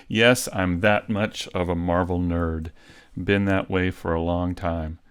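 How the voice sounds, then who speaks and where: A man hosting a casual tutorial livestream, thinking aloud in a hesitant way with long pauses. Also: deep voice, fairly even intonation, clear, resonant tone. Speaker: livestream host teaching 3D modeling.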